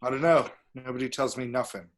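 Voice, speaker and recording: A man's voice: medium pitch, steady tone, brisk, lightly acted. A man playing a wry, unbothered village desk sergeant in an amateur script reading. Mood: sour, indifferent. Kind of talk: amateur screenplay table read.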